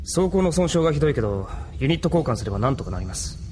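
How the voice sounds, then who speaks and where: A man's voice: fairly high pitch, big pitch swings, very fast, a little mellow, slight background noise. An actor playing a level-headed, frank male mechanic crewman in an anime audio drama, talking casually. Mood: resigned, frank.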